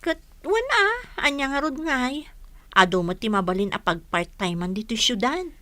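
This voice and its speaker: Actress as a high-pitched young village woman. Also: very animated, moderate pace, pushed and bright, no echo. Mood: chatty, sympathetic. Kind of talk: Ilocano comedy radio drama acting.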